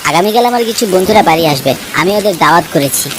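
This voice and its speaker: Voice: mid-range voice, expressive, rapid-fire, a bit bright, slight background noise, lightly acted. A performer playing a polite, honest and humble younger villager in a cartoon story, speaking earnestly and respectfully.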